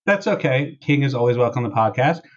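A man's voice: medium pitch, lively intonation, quick pace, slightly rough. A man hosting a casual podcast in a wry, informal way, recorded through a wireless earbud microphone. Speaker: podcast host. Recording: dull, lo-fi wireless earbud audio.

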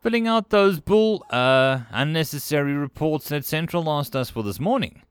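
A man's voice: fairly high pitch, expressive, normal speed, clear, resonant tone, dry recording, voice pushed up, close to an everyday voice. A man voicing an easygoing, slightly sheepish human office worker who talks casually and hesitantly in a story. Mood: casual, grumbling.

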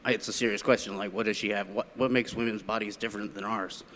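A man's voice: lowish voice, fairly even intonation, fast, fairly bright tone, natural voice. A man playing a tipsy, dim, chummy cop in improvised radio play comedy, slangy and rambling like a buddy. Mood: curious.

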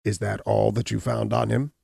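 A man voicing a hunchbacked murderer, a cornered, defiant villain who blusters and shouts in a raised, strained voice. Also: fairly low pitch, level tone, moderate pace, vocal fry, breathy edge, no room sound, studio quality, exaggerated voice. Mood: scornful. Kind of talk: audiobook reading.

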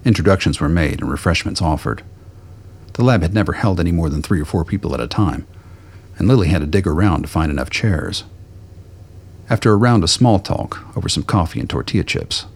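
A man's voice: low-pitched, expressive, a little fast, husky. A man reading scripted narration for a radio drama.

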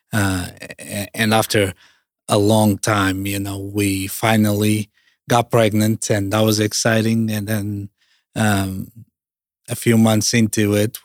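A man in his thirties from Portugal with a Portuguese accent, a guest telling his family story in an interview, talking haltingly with many restarts. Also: on the low side, dry recording, clean studio sound. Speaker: Salvation Army officer, guest.

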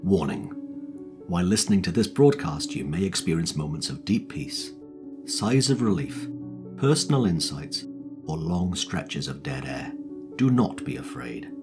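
Male intro announcer, deep, wry, guitar behind. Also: very animated, a little slow. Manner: dry, tongue-in-cheek. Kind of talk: scripted intro narration.

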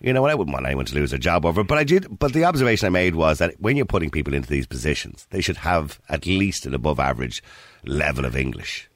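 A deep-voiced Irish man hosts a radio phone-in from a dry, close studio. He talks quickly and with a lively, swinging pitch, chatty and emphatic with his callers. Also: no echo. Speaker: radio talk show host.

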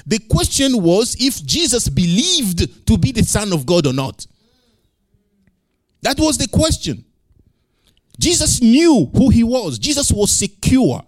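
A male pastor preaching in English, which is not his first language, building to loud emphatic peaks and repeating lines for effect. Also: high-pitched, dry recording. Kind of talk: sermon.

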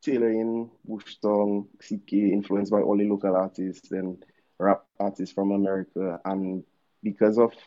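Dominican man, thirties, low-pitched, relaxed storytelling, slangy, dull lo-fi recording. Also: moderate pace. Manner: laid-back storytelling with slangy asides. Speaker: musician guest. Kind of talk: casual interview.

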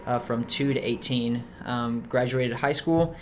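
A man's voice: medium pitch, measured pace, slight background noise. A young man raised in Mexico City, talking casually as a podcast guest, with lots of fillers like "um" and "like". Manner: relaxed, many fillers like "um" and "like". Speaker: podcast guest, soccer player. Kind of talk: casual interview chat.

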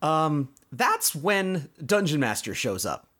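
A man's voice: fairly high pitch, big pitch swings, takes it slowly, a bit bright. A man hosting a podcast, casually recapping a cartoon episode in a conversational way with explanatory asides. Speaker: podcast host.

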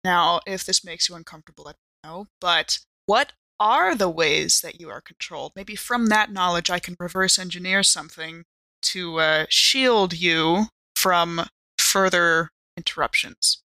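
A woman's voice: fairly low pitch, unhurried, sharp tone, no room sound, low-quality recording. A woman playing a polite, analytical investigator in a tabletop game, speaking formally and carefully with hesitant phrasing.

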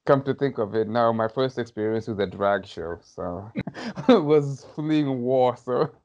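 A man's voice: medium pitch, animated, unhurried, darkish tone. A man in his thirties from Somalia, a podcast guest thoughtfully recounting his childhood, hedging often and laughing lightly. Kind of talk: reflective personal storytelling. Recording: slightly dull lo-fi audio.

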